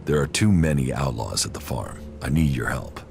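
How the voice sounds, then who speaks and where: A gruff former commander in a post-apocalyptic game. His rock-bottom, gravelly voice delivers terse, matter-of-fact lines, with music clearly behind. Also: rumbling low, animated, normal speed, heavily acted. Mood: stoic, businesslike. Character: stoic, gruff male ex-commander, hardened post-apocalyptic wanderer. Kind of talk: video game dialogue acting.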